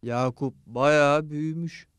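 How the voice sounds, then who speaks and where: Highish, emphatic male townsman. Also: steady tone, takes it slowly, dry recording. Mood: admiring. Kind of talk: dubbed religious cartoon acting. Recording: clear music under the voice. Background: music.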